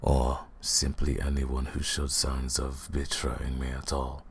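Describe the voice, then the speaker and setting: An extremely low, husky vampire lord, holds forth in a fantasy ASMR roleplay. He is arrogant and menacing, drawing out his words very slowly with theatrical condescension. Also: monotone, full character voice. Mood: cold, commanding. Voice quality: very deep, gravelly, husky, breathy. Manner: slow, deliberate, condescending, theatrical. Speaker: audio roleplay performer.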